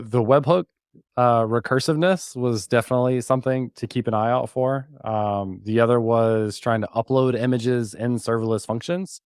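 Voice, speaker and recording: A man's voice: mid-range voice, dry recording, clean studio sound. A man giving a casual, informal live walkthrough of a technical demo, with some self-deprecation. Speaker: podcast host and developer giving a demo as guest.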